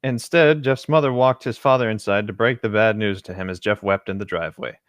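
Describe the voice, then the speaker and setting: A man's voice: on the low side, brisk, no room sound, clean studio sound. A man co-hosting a mock story reading, narrating aloud and chatting casually about it. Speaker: co-host.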